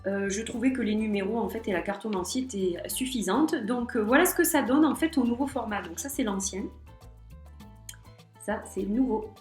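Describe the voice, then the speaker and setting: A woman's voice: a little high, normal speed, darkish tone. Chatty woman with a slight cold. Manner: chatty, hesitant, many fillers. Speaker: tarot and oracle card vlogger. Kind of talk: casual show-and-tell vlog. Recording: echoey room with faint music.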